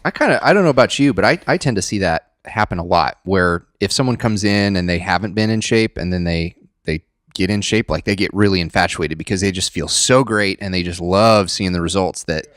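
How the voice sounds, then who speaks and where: A man's voice: low voice, fairly quick, fairly bright tone, dry recording, clean studio sound. A man chatting casually and agreeably as a podcast co-host, in an informal way. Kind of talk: casual chat.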